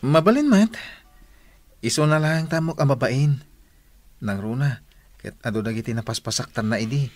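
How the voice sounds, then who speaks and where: A man's voice: mid-range voice, lively intonation, normal speed, long pauses, dry recording, faint music behind, natural voice. A man acting a casual young student, a concerned cousin, in a radio drama. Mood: concerned, musing. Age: young adult. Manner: casual, conversational.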